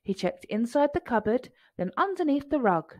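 A woman reads a storybook aloud for children. Her voice is fairly high and very animated, recorded close and dry. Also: moderate pace, no room sound.

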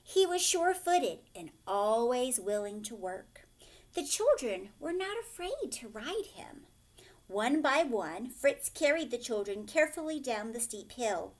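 A woman in her thirties reading a children's picture book aloud expressively, pausing to explain and ask questions like a teacher. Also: a little high, very animated. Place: furnished living room, indoors.

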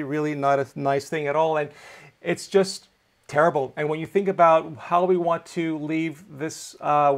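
Upbeat Greek-born man hosting, gravelly. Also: a little high. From Greece. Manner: upbeat, enthusiastic presenter. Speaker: host. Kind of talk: live online show hosting. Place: home video studio.

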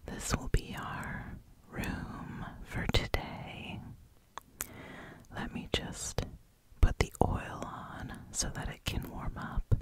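A gentle, soothing whisper in an ASMR roleplay, speaking as a spa massage therapist to a client. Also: takes it slowly. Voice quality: soft breathy whisper.

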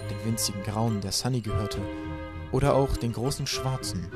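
A man reading a children's novel aloud as a hobby audiobook, lively and raising his voice for the characters. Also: moderate pace, loud music behind the voice. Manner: lively storytelling, acts children's voices higher. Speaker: audiobook reader.

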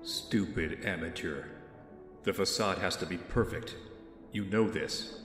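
An actor playing a controlled young rich man in an audio drama, formal and measured. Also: sits low, a bit bright, soft background music. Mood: brooding, curt.

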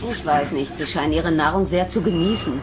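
Woman acting a low-voiced calculating alien scientist. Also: fairly quick, background noise, close to an everyday voice. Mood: matter-of-fact. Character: female alien scientist in human body, calculating, ruthless. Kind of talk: German sci-fi radio drama acting. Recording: loud music behind the voice.

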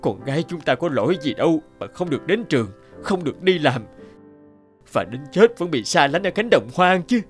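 A man's voice: a little high, very animated, normal speed. Earnest, sorrowful young father. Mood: bitter, defiant. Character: gentle, grieving young father, earnest villager. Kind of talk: cartoon dub acting. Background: background music.

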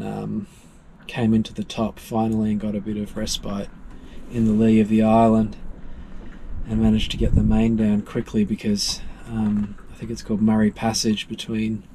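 A man's voice: fairly low pitch, dark tone, a little hiss or hum, rough recording. A worn-out man in his thirties, rambling through a casual recap with lots of ums while lying in the cramped cabin of a small boat. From Australia. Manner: rambling, many ums and restarts. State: exhausted. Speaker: solo sailor vlogger. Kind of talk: tired casual recap to camera. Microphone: camera close in front, lying down.

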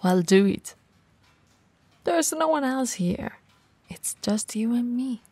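A woman playing a tall, cool, flirty shop owner in a soft, breathy, intimate ASMR roleplay. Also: a little high, animated, slow pace, no echo, full character voice. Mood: intimate, coaxing. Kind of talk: ASMR romantic roleplay acting. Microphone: close mic, dry.

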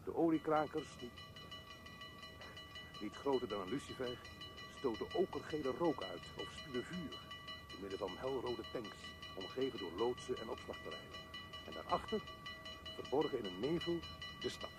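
Man acting a brooding, animated radio-play loner. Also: mid-range voice, normal speed, long pauses, music in the background, close to an everyday voice. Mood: brooding, musing. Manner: theatrical, musing, broken phrasing. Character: brooding, restless man thinking aloud, alone. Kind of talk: radio drama monologue.

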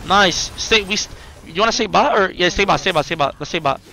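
A man in his twenties streaming a game, chatting excitedly and firing off repeated, slangy callouts to teammates. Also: sits high, a little fast, vocal fry. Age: 20s. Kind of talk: excited live gameplay chat with teammate callouts. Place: indoor room at home.

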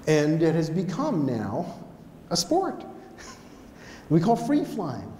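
A middle-aged American man giving a conversational motivational talk on stage, telling stories in an animated manner. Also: a little high, takes it slowly. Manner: animated, conversational, acts out dialogue. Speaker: keynote speaker. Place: on stage in an auditorium. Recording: echoey hall with background noise.

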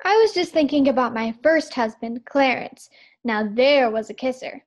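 Woman as high-voiced, cheeky radio maid. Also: expressive, normal speed. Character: cheeky female household maid. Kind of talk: old-time radio sitcom acting.

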